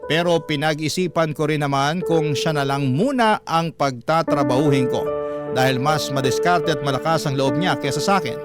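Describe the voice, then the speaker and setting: A man's voice: a little high, normal speed, natural voice. A man playing a troubled young Filipino husband who narrates his life story earnestly, like a confession, in a radio drama. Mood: earnest, resigned. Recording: loud music under the voice.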